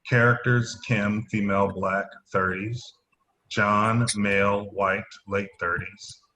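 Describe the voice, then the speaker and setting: A man's voice: lowish voice, fairly even intonation, takes it slowly, sharp tone, a little hiss or hum, poor audio. A man reading out the title, cast list and stage directions of an audio play as narrator. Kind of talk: scripted announcement of title and characters.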